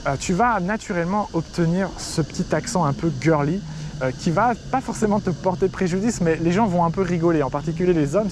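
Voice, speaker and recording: A young French man speaks chattily and directly to the viewer, his voice sitting a little high for a man. He is recorded outdoors, with a faint hiss of insects and ambience behind him. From France. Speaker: Chinese teacher. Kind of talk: casual talking-head advice video. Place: outdoors in front of a city skyline.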